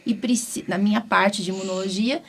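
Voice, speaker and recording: A woman's voice: medium pitch, fairly even intonation, fairly quick. Woman, Brazilian accent, doctor and podcast host, conversational, frequent tag questions, noisy recording. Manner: informal, often ends phrases with tag questions. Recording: clearly noisy background.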